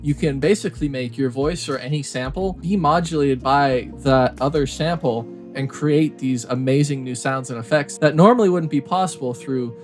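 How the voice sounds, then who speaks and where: A man narrates an audio software tutorial in a medium-pitched voice. He explains each step in a casual, instructional manner. Music plays behind his voice.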